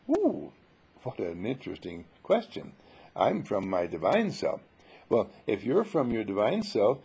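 Man, spiritual teacher, husky gravelly voice, widely swinging pitch, emphatic, informal preaching. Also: dark tone, dull audio. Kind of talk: informal spiritual sermon with rhetorical questions.

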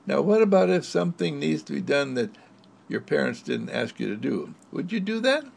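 A man voicing Mister Candle, a kindly talking candle, in a soft, soothing, praising manner. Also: a little high, sing-song, normal speed, creaky, voice pushed up, full character voice. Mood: gentle.

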